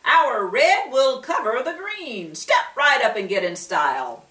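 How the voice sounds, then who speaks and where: A brash wooden storekeeper, as a woman reads him aloud, bellows his pitch up high and hard, all swooping sales patter. The voice rings in a roomy space, picked up from a little way off the mic. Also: big pitch swings, a little slow, a bit bright. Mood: hawking. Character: loud pushy male wooden shopkeeper hawking paint. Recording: roomy room, distant mic.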